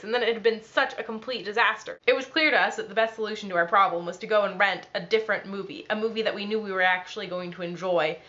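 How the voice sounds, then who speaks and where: Sick nasal young woman vlogging, talks super fast, kinda muffled lo-fi sound. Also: mid-range voice. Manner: quick, dry, deadpan storytelling in numbered parts. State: ill, nasal. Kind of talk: storytelling vlog.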